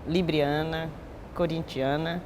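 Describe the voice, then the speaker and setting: A woman's voice: low-pitched, fairly even intonation, takes it slowly, darkish tone, long reverb tail, a little hiss or hum. A woman in her sixties with a Bahian accent, a capoeira master speaking reflectively in an interview and often ending with a tag question. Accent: Bahian Portuguese. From Bahia, Brazil. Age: 60s. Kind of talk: recorded interview testimony.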